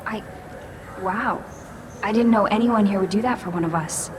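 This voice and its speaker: A woman's voice: medium pitch, normal speed, background noise, close to an everyday voice. Shy young alien girl played by a woman. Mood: touched, subdued. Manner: hesitant, unassuming. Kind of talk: video game voice acting.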